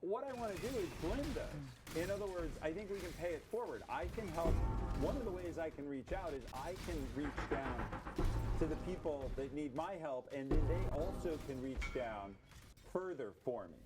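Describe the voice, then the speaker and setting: A middle-aged man in a casual discussion on a stream, explaining things in a measured way. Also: on the high side, expressive, loud music behind the voice. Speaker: livestreamer with a career advice show. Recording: loud gunfire and game noise. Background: video game gunfire and artillery.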